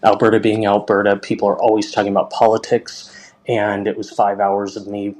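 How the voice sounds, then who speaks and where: A man's voice: fairly low pitch, completely flat delivery, a little fast, darkish tone. A man leaving a casual, rambling message as a caller to a show.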